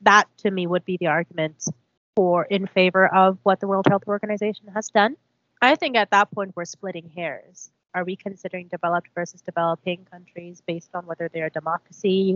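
Woman, Korean native speaker, lower voice, reflective and hedging podcast co-host, dull lo-fi audio. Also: fairly bright tone. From South Korea. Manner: thoughtful, hedging, lots of "I think" and "like". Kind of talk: casual podcast discussion. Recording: dull lo-fi sound.

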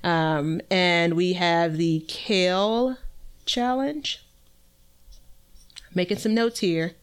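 A woman with a slightly low voice chats casually as co-host of a gardening show. She is unscripted and conversational. Her manner is playful and animated. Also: very slow, smooth. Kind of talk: casual unscripted co-hosted chat.

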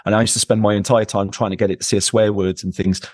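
A man's voice: on the low side, little pitch movement, quick pace, a little mellow, dry recording, clean studio sound. A man speaking as a podcast guest, emphatic and repeating words for stress in a conversational interview. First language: English.

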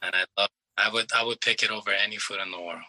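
20s male athlete from Iran, deep-ish and forceful, casual interviewee who restarts sentences. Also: rough recording. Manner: relaxed, plain-spoken, halting with restarts. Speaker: soccer player being interviewed.

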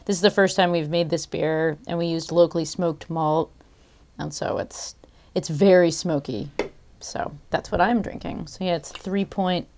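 Laid back woman guest from connecticut, bit low voice, rambles and self-corrects. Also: vocal fry, a little mellow, a little hiss or hum. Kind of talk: casual chat.

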